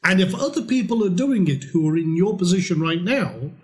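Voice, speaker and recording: A middle-aged man with a Scottish accent, a trading course presenter giving a promotional pitch in a blunt, lively, casual way with mild swearing. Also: brisk. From Scotland.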